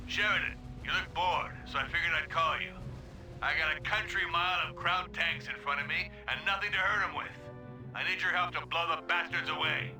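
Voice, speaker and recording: A male actor plays a blunt, brash American general of tanks in a war game. His voice sits a little high, with a folksy American accent. It comes through echoey, over clear background music. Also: a little fast, lightly acted. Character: gruff, brash, foul-mouthed American tank general.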